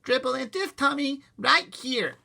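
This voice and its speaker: A man's voice: very high-pitched, expressive, a little slow, creaky, sharp tone, voice pushed up, full character voice. A man voicing a gleeful, mischievous toddler boy who babbles in baby talk for an audiobook.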